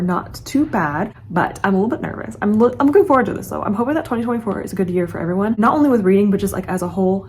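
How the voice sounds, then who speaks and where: Fast-talking chatty young woman. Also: medium pitch, a little mellow, slight background noise. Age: late 20s. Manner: chatty, rambling, earnest. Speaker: book vlogger. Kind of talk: casual chat to camera.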